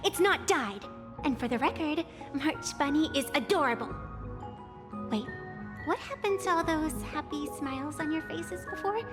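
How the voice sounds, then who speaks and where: A woman's voice: high voice, lively intonation, normal speed, over music. An actress playing a bold, teasing young woman in a video game. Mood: indignant. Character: spirited young woman, cheeky and outspoken. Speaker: game character. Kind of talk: game voice acting.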